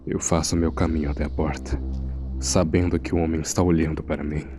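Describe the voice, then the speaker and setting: Very deep-voiced man acting out uneasy horror narration. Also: flat delivery, brisk, over music. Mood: grave, uneasy. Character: uneasy male morgue assistant recounting a frightening secret. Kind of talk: scripted first-person horror narration.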